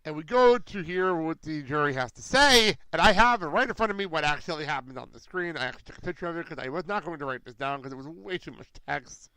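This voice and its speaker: A man hosting a podcast, reacting in loud disbelief, exclaiming and laughing as he recaps a cartoon episode. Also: fairly high pitch, animated, fast, few pauses, smooth, no echo, poor audio. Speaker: podcast host. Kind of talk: casual podcast chat.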